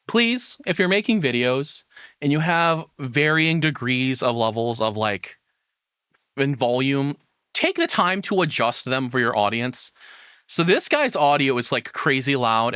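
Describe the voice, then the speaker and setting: Bright-voiced man ranting casually, very close and dry. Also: medium pitch, smooth, dry recording. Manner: rambling, opinionated, profane. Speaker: streamer reacting to a video. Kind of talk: casual livestream commentary.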